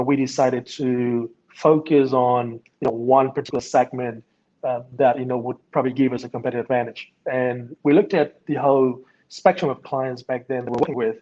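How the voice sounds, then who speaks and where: Middle-aged man from Vietnam, agency founder, halting interview answers, muffled lo-fi mic. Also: medium pitch, a little mellow. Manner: hesitant, many ums and you-knows. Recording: dull lo-fi sound.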